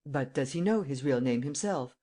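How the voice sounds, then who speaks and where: A composed police chief, as the reader plays him, steady and in command. He asks short, firm questions in an even, measured delivery close to the reader's own voice. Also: medium pitch, close to an everyday voice.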